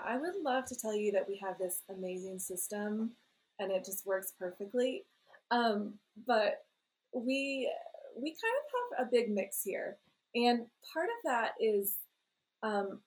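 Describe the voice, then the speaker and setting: A woman in her thirties, a parent and blogger, talking in a rambling, self-deprecating way and laughing often. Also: mid-range voice. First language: English. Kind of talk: relaxed conversational interview.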